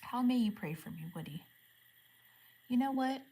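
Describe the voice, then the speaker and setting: A woman in her forties chatting casually with viewers on a livestream, asking friendly questions and murmuring softly when she reads comments. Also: on the high side, expressive, moderate pace, breathy edge. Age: 40s. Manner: drops to a soft murmur when reading comments aloud. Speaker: live streamer.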